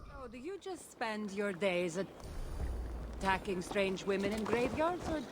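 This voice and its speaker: A woman's voice: medium pitch, very animated, unhurried. A woman playing a teasing, chatty, world-weary wandering warrior from ancient Greece in a video game. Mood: teasing, curious. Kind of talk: dramatic video game dialogue. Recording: noisy outdoor game ambience behind.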